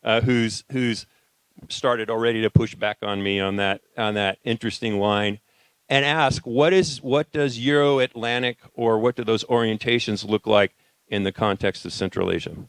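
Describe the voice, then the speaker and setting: A man's voice: fairly low pitch, fairly even intonation, moderate pace, fairly bright tone, clear, resonant tone, no echo. Man, panel moderator, resonant voice, dry close sound, casual delivery with restarts. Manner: loose, conversational, frequent fillers and restarts. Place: conference hall.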